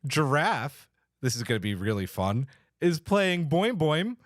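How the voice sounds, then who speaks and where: A man's voice: fairly high pitch, sing-song, measured pace, no echo, studio quality. A man hosting a podcast in an enthusiastic, casual, chatty way. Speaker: podcast host.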